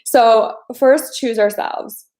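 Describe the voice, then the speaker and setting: A woman's voice: fairly high pitch, slow, mellow. Chatty woman in her thirties, roomy echo. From American Midwest. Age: 30s. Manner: chatty, repeats phrases, many fillers. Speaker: dating coach hosting a live stream. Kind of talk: casual live-stream talk.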